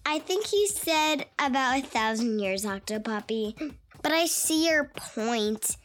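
A woman's voice: sits high, expressive, slow pace, a bit bright. A woman co-hosting a children's podcast in scripted, simple dialogue addressed to kids.

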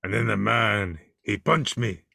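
Podcast host, low gravelly bright voice, deadpan, dry close sound. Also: male voice, unhurried, no room sound. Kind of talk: casual interview.

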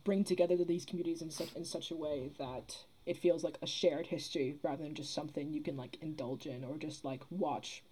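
A woman speaking as a podcast guest in casual chat, halting and rephrasing as she goes. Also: low-pitched, steady tone, dark tone, low-quality recording. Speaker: podcast guest, theatre producer.

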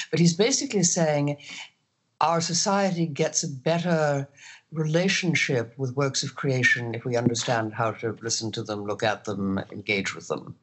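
Scholarly panelist, medium-low androgynous voice. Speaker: critic on a panel. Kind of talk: measured roundtable discussion.

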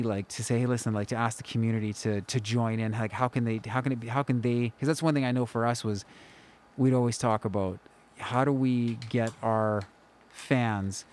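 A man's voice: medium pitch, a little mellow. A man co-hosting a podcast, enthusiastic and often restarting his sentences mid-thought. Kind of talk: casual podcast chat.

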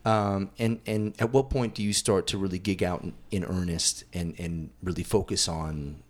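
A man's voice: deep voice, fairly even intonation, normal speed. A man hosting a casual interview, asking rambling questions and teasing his guest. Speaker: podcast host.

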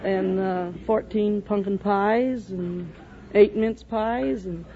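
A woman's voice: medium pitch, steady tone, takes it slowly, a crowd in the background. A middle-aged woman answering a quiz host's questions as a contestant, plain-spoken and hesitant. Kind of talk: unscripted interview answers. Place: stage before a studio audience. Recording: phone-like old radio recording, faint noise.

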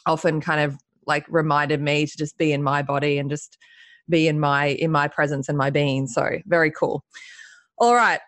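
A woman's voice: sits low, dry recording. A woman hosting a podcast interview, enthusiastic and effusive. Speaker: podcast host.

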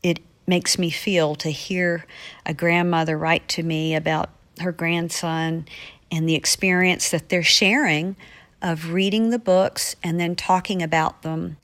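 A woman's voice: on the low side, fairly even intonation, a little slow, a bit bright. A woman from the United States, an author reading and answering listener mail on a podcast in an earnest, effusive way. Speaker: author with a regular podcast segment.

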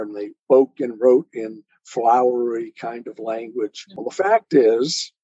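A man with a husky, slightly dark voice talks reflectively as a guest author. He explains things in a conversational way. The sound is dry and somewhat muffled. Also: medium pitch, no echo. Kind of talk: reflective podcast interview talk. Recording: dull, muffled lo-fi audio.

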